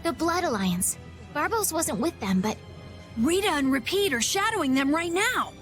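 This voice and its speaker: An earnest young lady from an animated game. Her voice is very high and lively in pitch, polite yet excitable, with music behind it. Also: normal speed. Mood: urgent. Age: young adult. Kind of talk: animated video game voice acting. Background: background music.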